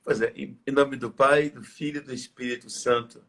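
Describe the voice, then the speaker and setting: A tired, slightly hoarse male priest, leading prayers and preaching in a reverent, measured way. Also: mid-range voice, fairly even intonation, a bit bright. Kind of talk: live religious talk and prayer.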